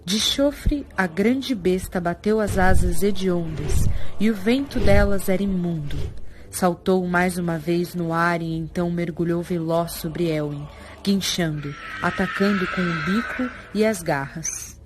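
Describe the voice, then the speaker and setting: A woman's voice: lowish voice, a little slow, noisy background. A woman with a Brazilian accent reading scripted, dramatic narration for a fantasy audio drama. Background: prominent music.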